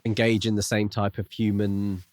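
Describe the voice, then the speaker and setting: A man's voice: on the low side, flat delivery, normal speed, no room sound. A man co-hosting a podcast, musing and speculating in a casual discussion about a book. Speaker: podcast co-host.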